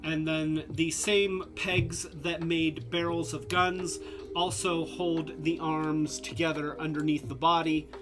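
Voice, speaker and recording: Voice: medium pitch, little pitch movement, slow, over music. A live-stream host reviewing toys in a casual, rambling way, congested and losing their voice, a bit tipsy from drinks. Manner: rambling, many fillers and restarts.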